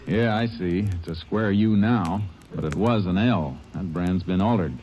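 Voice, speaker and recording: An actor playing a steady Texas Ranger who narrates and questions suspects in a tersely measured way in a radio drama. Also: male voice, low voice, background noise. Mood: stern. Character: stern, steady male Texas Ranger lawman.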